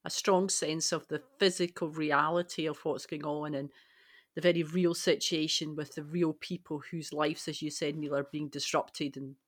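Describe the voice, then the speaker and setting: A woman's voice: low-pitched, fairly even intonation, normal speed, no room sound, clean studio sound. A woman co-hosting a podcast, talking casually and reflectively in discussion. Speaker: podcast co-host.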